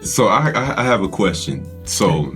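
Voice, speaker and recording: A man's voice: fairly low pitch, very animated, moderate pace, a bit bright, faint music behind. A man hosting a casual interview, asking questions and reacting with enthusiastic affirmations. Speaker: host.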